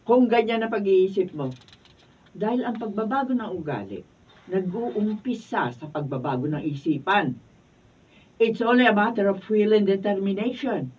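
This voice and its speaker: Radio drama role: actress as stern, fed-up Filipino mother, husky voice, dull sound. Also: mid-range voice, normal speed, darkish tone, natural voice. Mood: exasperated, admonishing. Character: exasperated, lecturing mother of a grown son. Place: radio drama recording studio. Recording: dull, distant behind-the-scenes studio video.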